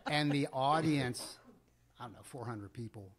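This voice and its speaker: A middle-aged American man tells a story conversationally as a podcast co-host, deliberately and with pauses. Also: medium pitch, over a noisy crowd.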